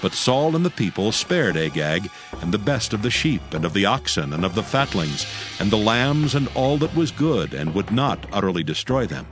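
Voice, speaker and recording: A male narrator reads scripture as scripted drama. His voice is fairly low with animated, wide-ranging intonation, and faint music runs behind it. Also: moderate pace.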